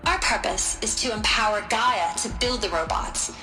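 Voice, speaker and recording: A woman voicing an informal, eager engineer briefing recruits in a video game, with a halting, wry delivery. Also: mid-range voice, natural voice. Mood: informal, upbeat. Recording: roomy echo, music underneath.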